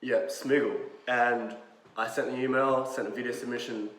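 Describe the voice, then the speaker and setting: A man's voice: medium pitch, steady tone, normal speed, fairly bright tone. A man in his twenties with an Australian accent, a dancer and podcast guest telling stories in easygoing slang, full of "like" and "bro". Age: 20s. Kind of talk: casual storytelling. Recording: roomy sound from a distant mic.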